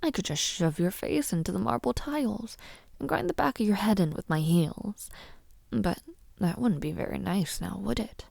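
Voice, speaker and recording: A woman playing a haughty young villainess princess in an audio roleplay, mockingly sweet and menacing, teasing and condescending in a breathy near-whisper. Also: mid-range voice, animated, no echo, clean studio sound. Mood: condescending, playful. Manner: slow, teasing, condescending, with soft taunts. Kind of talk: audio roleplay addressed to the listener.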